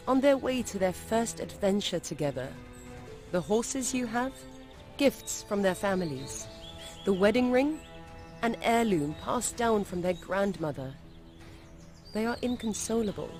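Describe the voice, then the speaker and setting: Woman playing an imperious, expressive vampire noblewoman. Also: mid-range voice, close to an everyday voice. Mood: persuasive. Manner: formal, deliberate, aloof. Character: ancient noble vampire lady, composed and imperious. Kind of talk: video game voice acting. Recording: music behind.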